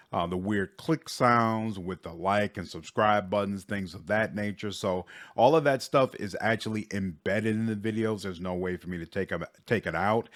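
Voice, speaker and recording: A man narrating a scripted voice-over as a gaming channel host. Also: low-pitched.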